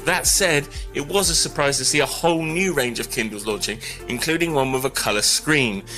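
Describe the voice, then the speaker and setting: A man delivers conversational tech news with a bright, driving voice at a medium pitch. He is wry and opinionated as he explains the stories. Light music plays underneath. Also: normal speed. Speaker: technology news commentator. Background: background music.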